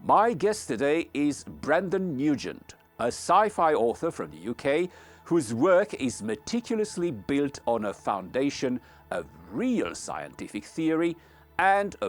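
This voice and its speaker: Slow-paced, expressive male podcast host. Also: mid-range voice, faint music behind. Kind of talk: scripted podcast introduction and interview.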